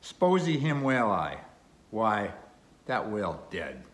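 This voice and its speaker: A man voicing a proud foreign harpooner who speaks loud broken English in a sea story audiobook. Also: fairly low pitch, big pitch swings, takes it slowly, reverberant, heavily acted. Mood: satisfied.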